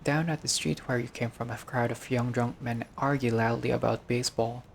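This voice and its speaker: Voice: mid-range voice, steady tone, normal speed, creaky, slight background noise. A gamer reading a visual novel aloud in a casual let's-play style, breaking off into joking, sweary asides.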